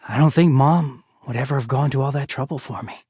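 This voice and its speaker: A man voicing a wounded, conflicted living garment in a video game, husky and emotional, confiding with some swearing. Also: mid-range voice, brisk, mellow, no echo, clean studio sound, lightly acted. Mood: wistful, hurt.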